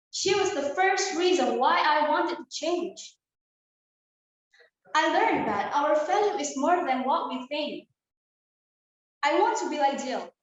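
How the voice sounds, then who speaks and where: A woman's voice: high voice, very echoey, rough recording. A young woman from Indonesia giving an earnest, prepared inspirational speech as a student, talking straight to her audience over a video call. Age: young adult. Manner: earnest, addresses audience directly. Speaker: student speaker.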